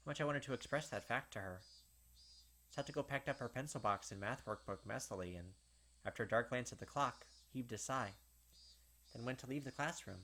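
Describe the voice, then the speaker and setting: A man's voice: mid-range voice, fairly quick, bright, forceful tone, slight background noise. A young man hosting a gaming video, reading story text aloud with casual, filler-heavy asides.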